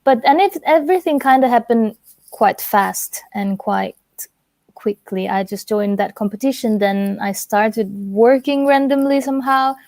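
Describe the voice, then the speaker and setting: A young woman in her twenties from Italy, chatting as a guest in an interview with a relaxed manner and lots of fillers. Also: a little high, unhurried, no room sound. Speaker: guest singer. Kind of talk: casual interview chat.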